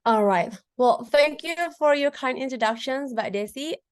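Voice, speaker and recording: Indonesian woman giving a lively talk. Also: sits high, normal speed. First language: a regional Indonesian language. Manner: lively, frequent fillers and restarts, addresses audience with tag questions. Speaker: guest presenter, lecturer. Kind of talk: webinar presentation.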